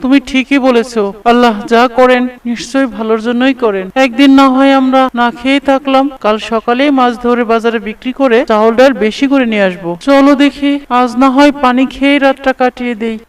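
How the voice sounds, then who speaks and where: Man acting a very high-voiced humble fisherman. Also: fairly even intonation, brisk, hardly any pauses, smooth, far from the mic, poor audio. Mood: resigned. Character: poor, pious, patient fisherman, adult man. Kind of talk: cartoon voice acting.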